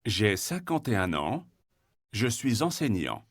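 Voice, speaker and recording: A man speaking a short, slow, carefully clear line on a language textbook recording. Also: lowish voice, very animated, no room sound, clean studio sound, exaggerated voice.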